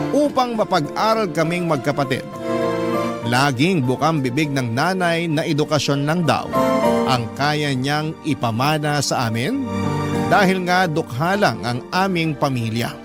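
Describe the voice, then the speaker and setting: A man with a Filipino accent narrating a scripted first-person story as a radio storyteller reading a letter. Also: on the high side. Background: loud music.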